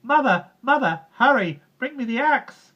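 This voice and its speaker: Man doing a frantic, high-voiced young lad. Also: lively intonation, unhurried, lo-fi sound, exaggerated voice. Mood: frantic, urgent. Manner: urgent, high-pitched calling out. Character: frantic young lad calling for his mother.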